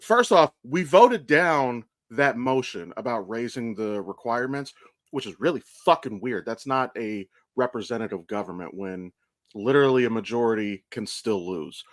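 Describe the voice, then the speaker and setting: An American man co-hosting a podcast, chatting casually and telling stories in a blunt, animated way with plenty of swearing. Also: mid-range voice, normal speed, no room sound, studio quality. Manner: blunt, profane, animated storytelling. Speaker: podcast co-host. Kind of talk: casual chat.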